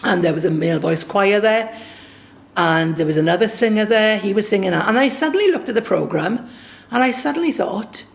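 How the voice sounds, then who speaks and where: A woman from Swansea with a Welsh accent, a singer and songwriter recounting a personal story in a lively, anecdotal way. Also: mid-range voice, a little fast, creaky. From Swansea, Wales. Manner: lively anecdotal, acts out quoted dialogue.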